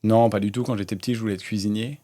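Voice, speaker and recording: Low, flat-voiced man in his thirties. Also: very fast. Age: 30s. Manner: relaxed, many hesitations, storytelling. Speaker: startup founder, guest. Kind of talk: casual interview talk.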